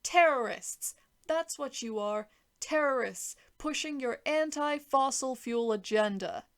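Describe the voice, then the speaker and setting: A woman's voice: high-pitched, unhurried, voice pushed up. A woman voicing a self-important male government official, defensive and blustering in protest. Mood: outraged.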